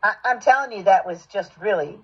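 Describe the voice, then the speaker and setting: A woman's voice: mid-range voice, fairly quick, fairly bright tone, slightly rough. A woman giving supportive, affirming feedback as a voice acting coach, on dull lo-fi call audio.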